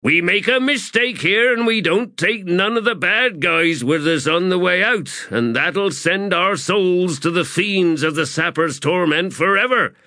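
A man playing an irritable, bright-voiced sapper corporal. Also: mid-range voice, sing-song, drawn-out words, dry recording, pitched above the performer's own voice, exaggerated voice. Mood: commanding. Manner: irritable, barking, rough ungrammatical soldier talk. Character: gruff, bossy army sapper corporal, a man.

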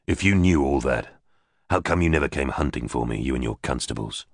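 A man's voice: extremely low pitch, expressive, brisk, no room sound, clean studio sound, pitched below the performer's own voice. A man voicing a tough ex-army psychic in an audiobook, curt and menacing, with occasional swearing. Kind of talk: audiobook character voice.

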